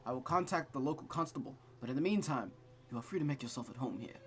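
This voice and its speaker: A man voicing a kindly priest in a visual novel, speaking in a calm, reassuring, measured way. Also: mid-range voice, faint music behind, close to an everyday voice. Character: gentle, kindly male village priest.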